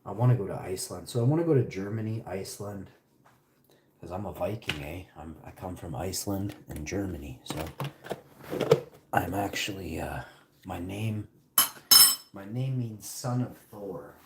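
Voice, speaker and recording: A man's voice: low voice, moderate pace, pauses often, heavy background noise. A man live-streaming, chatting casually with his viewers and answering their questions between bites of food.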